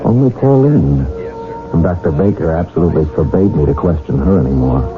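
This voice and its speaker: Low-voiced, haunted young English husband. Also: male voice, expressive, brisk. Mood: grave. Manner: grave, dramatic. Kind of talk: radio drama acting and first-person narration. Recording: tinny old radio broadcast with loud music.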